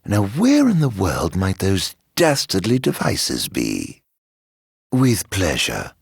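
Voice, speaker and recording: Game villain: immortal fallen king, theatrical and vengeful, ornate speech, very raspy male voice, close dry sound. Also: deep voice, huge pitch swings, takes it slowly, dry recording, heavily acted. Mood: mocking, playful. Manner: grandiloquent, theatrical, ornate diction. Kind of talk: dramatic video game voice acting.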